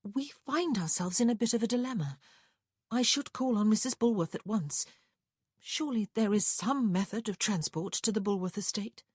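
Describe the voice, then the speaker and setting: A woman voicing a polite, tactful young lady traveller in an audiobook, genteel, sweet and soft-spoken. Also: mid-range voice, normal speed, slightly rough, no room sound, studio quality, voice pushed up, lightly acted. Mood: sweetly pleading. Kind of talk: acted audiobook dialogue.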